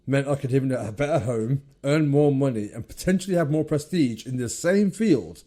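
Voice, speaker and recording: A man around thirty, co-hosting a casual podcast chat, loud and blunt, swearing freely. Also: medium pitch, lively intonation, moderate pace. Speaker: podcast co-host. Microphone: studio mic without headphones.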